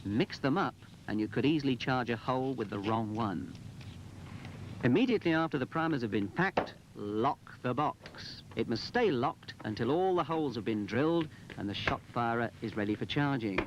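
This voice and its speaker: A man's voice: medium pitch, expressive, moderate pace. Instructive male narrator, muffled old film. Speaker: narrator and presenter. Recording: muffled, noisy 1980s film soundtrack.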